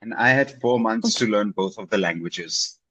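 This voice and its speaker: Husky-voiced man, encouraging tutor. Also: on the low side, normal speed, pushed and bright, no echo, low-quality recording. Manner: encouraging, repeats himself for emphasis with "okay?" and "right?". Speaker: English tutor. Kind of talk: casual video-call conversation.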